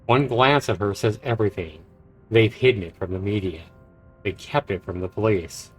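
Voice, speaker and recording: A man's voice: lowish voice, moderate pace, slightly rough, rough recording. A man who streams games, reading visual novel narration aloud and reacting to it. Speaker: game streamer. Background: game music.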